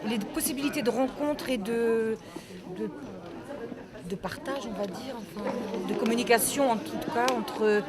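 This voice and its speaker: A woman's voice: fairly high pitch, measured pace. A woman answering informally in an interview, tentative and rephrasing as she goes. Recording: chatter behind the voice.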